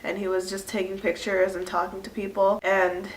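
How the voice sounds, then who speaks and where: A woman's voice: mid-range voice, level tone, moderate pace. A woman in her early twenties with a stuffy nose from a cold, chatting casually to her viewers with lots of fillers. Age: early 20s. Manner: chatty, rambling, many "like" fillers. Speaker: vlogger. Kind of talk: casual chat to camera. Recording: echoey room.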